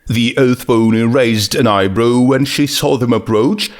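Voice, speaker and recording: A man's voice: mid-range voice, measured pace. A man reading a scripted story aloud as its narrator.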